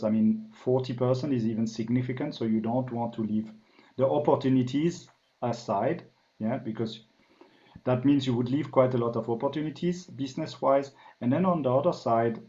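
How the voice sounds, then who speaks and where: A man's voice: mid-range voice, dark tone, dull audio. A man from France with a French accent tinged by years in Germany, a guest consultant explaining things thoughtfully with many "um"s in a business podcast interview. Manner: thoughtful, filler-heavy explanations.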